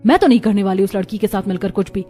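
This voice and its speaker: A woman's voice: mid-range voice, racing, warm tone, faint music behind, natural voice. A woman playing a spoiled, scornful rich college girl in a cartoon story, with a mocking, disdainful manner. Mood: indignant disdain. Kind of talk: Hindi cartoon story dialogue.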